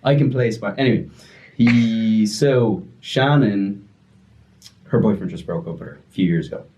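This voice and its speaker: A young man telling a story as a podcast guest, joking and rambling with quick asides. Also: lowish voice, warm tone, lo-fi sound, a little hiss or hum. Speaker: podcast guest, music producer and DJ. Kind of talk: casual storytelling chat.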